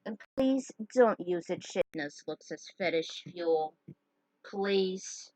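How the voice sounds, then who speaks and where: A woman's voice: fairly low pitch, animated, slow pace, a little mellow, no room sound, distant mic, poor audio. A woman reading a story aloud, breaking off for chatty, joking asides. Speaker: fan fiction reader. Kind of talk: story narration with casual asides.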